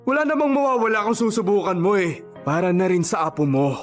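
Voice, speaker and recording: An actor playing a frank, concerned vendor friend in Filipino radio drama style, blunt, earnest and admonishing. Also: male voice, high voice, quick pace, soft background music, close to an everyday voice. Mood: urgent, persuading.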